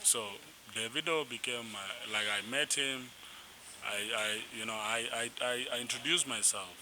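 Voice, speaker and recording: A young man from South Sudan, a musician being interviewed, telling his story in a rambling, animated way with restarts. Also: mid-range voice, moderate pace. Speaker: musician guest. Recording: noisy background.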